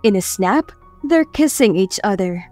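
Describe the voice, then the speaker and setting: A woman's voice: mid-range voice, animated, unhurried, a little mellow, faint music behind. A woman narrator reading a love story as dramatic scripted audiobook narration.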